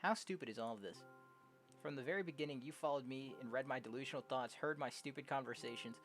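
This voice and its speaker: A young adult game streamer casually reading visual novel dialogue aloud, jokey and prone to loud exclamations. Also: mid-range voice, fast. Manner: animated, jokey, exclaims loudly. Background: game music.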